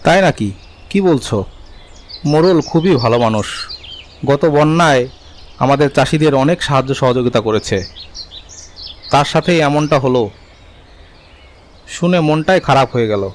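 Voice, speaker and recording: A man's voice: on the high side, lively intonation, normal speed, pauses often, a little hiss or hum, lightly acted. A man playing a poor, henpecked but kindhearted village husband in a cartoon, given to grumbling and muttering. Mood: sympathetic.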